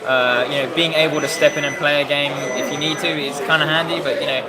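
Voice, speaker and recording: A talkative British man with a slightly husky, fairly high voice carries on loudly in casual chat. A heavy crowd murmurs all around in the big hall. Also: steady tone, quick pace. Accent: British English. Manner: talkative, loud voice, many fillers. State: a bit husky. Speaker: interviewer, event organiser. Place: busy tournament hall. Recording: crowd noise in a large hall.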